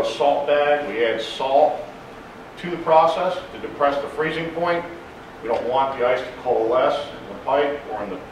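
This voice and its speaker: A man giving a technical conference presentation in a large room, speaking loudly and plainly. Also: mid-range voice, slight background noise. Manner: loud, plain-spoken, apologetic about slides. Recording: very echoey room, distant mic.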